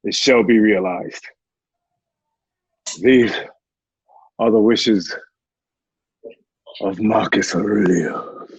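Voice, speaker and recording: A dull, lo-fi recording of a man playing a dying Roman warrior in a radio play. He speaks low and extremely slowly, halting but solemn and resolute. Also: slightly rough, no echo, heavily acted. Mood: solemn, fading. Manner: halting, laboured delivery. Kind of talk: amateur radio play acting.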